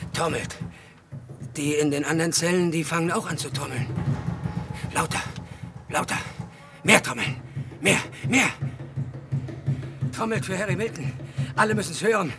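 A man's voice: fairly high pitch, fairly bright tone, slight background noise. An actor playing a desperate, deranged young man in a radio crime play, pleading in childlike, repetitive phrases. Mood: frantic pleading.

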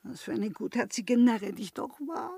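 Pert, high-voiced young maid. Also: female voice, moderate pace, vocal fry, mellow, husky, dry recording, studio quality. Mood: scornful, wounded. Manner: coquettish, teasing, theatrical. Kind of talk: German radio play acting.

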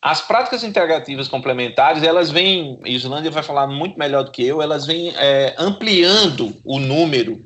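Psychologist guest: Brazilian man, higher-than-average bright voice, emphatic explanatory delivery built on repeated phrases. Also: animated, moderate pace, hardly any pauses. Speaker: psychologist and professor, interview guest. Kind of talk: explanatory interview answers.

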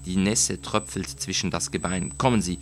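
Scheming, polite nobleman, voiced by a male reader: low, measured, insistent, literary audio story over music. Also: lightly acted. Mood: feigned concern. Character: cunning avenging nobleman feigning polite concern.

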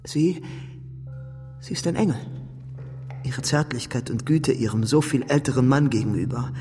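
A man's voice: mid-range voice, normal speed, lightly acted. A man playing an anxious, bitter, timid tutor in a radio crime drama, hesitant and aggrieved. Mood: nervous, aggrieved. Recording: reverberant hall, music underneath.

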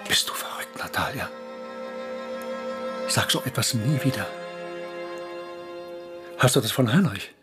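An actor playing an anguished, embittered German professor in a radio drama, speaking insistently and defensively. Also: male voice, mid-range voice, expressive, unhurried, pauses often, music in the background, natural voice. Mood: agitated, pleading. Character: anguished, embittered male German professor recounting his past. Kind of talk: radio drama narration and dialogue.

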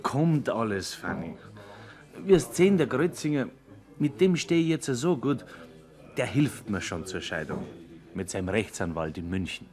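An actor playing a scheming small-town lover in a German radio play, talking confidingly with a Bavarian accent. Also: male voice, mid-range voice, sing-song, measured pace, darkish tone. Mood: reassuring, scheming. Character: scheming small-town married man, confiding in his mistress.

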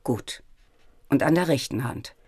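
A woman's voice: extremely low pitch, animated, unhurried, mellow, slightly rough, full character voice. An actress in a German radio drama playing a learned, guarded and troubled woman disguised as a monk. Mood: patient, instructive.

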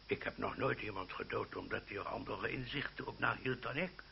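A man's voice: on the low side, a little fast, vocal fry. A man acting in an old radio play as a blustering older uncle, easily indignant, stammering when flustered. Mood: regretful, mild. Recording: muffled, slightly noisy old radio recording.

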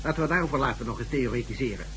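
Radio play, male actor as formal police inspector, brisk pace, sober, courteous, faint noise. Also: medium pitch, fairly bright tone, lightly acted. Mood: sober, resolute. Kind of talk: radio drama acting. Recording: faintly noisy old radio drama recording.

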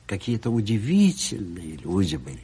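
A man's voice: low-pitched, wildly swooping pitch, normal speed, mellow, slightly rough. A man presenting a theatre play in a solemn introductory address, earnest and oratorical, with emphatic, broken phrasing. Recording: long hall reverb.

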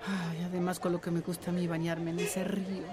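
An actress playing a cheeky, concerned village neighbour in a radio drama, conversational and earnest. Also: female voice, fairly low pitch, monotone, fairly quick, a crowd in the background, close to an everyday voice. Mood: playful. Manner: conversational, playful yet earnest.

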